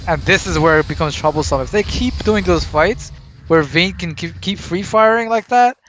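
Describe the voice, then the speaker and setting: Rambling male esports caster, fairly high voice. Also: moderate pace, music in the background, rough recording. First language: Arabic. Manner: rambling, searches for words with many fillers. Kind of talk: casual esports color commentary.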